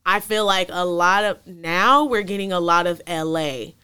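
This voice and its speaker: A woman's voice: fairly low pitch, normal speed, sharp tone, smooth. A young woman from Atlanta, blunt and emphatic in a casual group chat. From Atlanta, Georgia.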